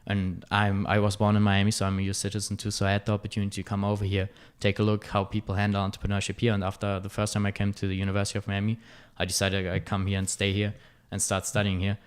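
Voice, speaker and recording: A man's voice: on the low side, completely flat delivery, quick pace, hardly any pauses, clean tone. A young man from Germany, chatting on a podcast in a hesitant way, with many fillers. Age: young adult. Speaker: student club director, podcast guest. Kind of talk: casual podcast chat.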